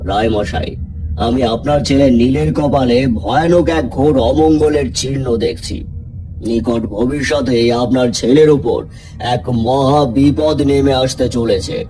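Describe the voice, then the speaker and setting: A man's voice: medium pitch, slow pace, over music, close to an everyday voice, poor audio. A man voicing a solemn, imposing ascetic who warns of doom in a cartoon horror story. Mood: grave, ominous. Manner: solemn, ominous. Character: grave ascetic holy man foretelling doom, imposing and persuasive.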